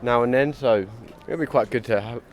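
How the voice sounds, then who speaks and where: A man's voice: mid-range voice, normal speed. A man answering street interview questions in an easygoing, offhand way. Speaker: passer-by.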